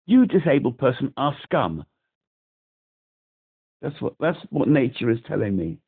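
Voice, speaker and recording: Male British caller on a phone line, very gravelly and husky, agitated and rambling. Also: medium pitch, sing-song, a little slow. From Britain. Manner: rambling, agitated, repeats himself. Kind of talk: phone call to a helpline. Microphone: telephone.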